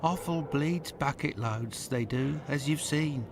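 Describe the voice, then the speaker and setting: A man's voice: fairly high pitch, slow pace. An actor playing a blunt, unbothered man, heard as game audio with noise behind. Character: gruff working-class London man, unbothered. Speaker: game character. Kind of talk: video game voice acting.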